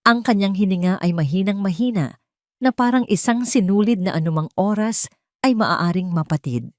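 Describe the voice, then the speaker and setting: A woman's voice: fairly low pitch, darkish tone, dry recording, studio quality. A woman with a Filipino accent reading a scripted first-person story in an emotive, solemn, dramatic way. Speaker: audio drama narrator.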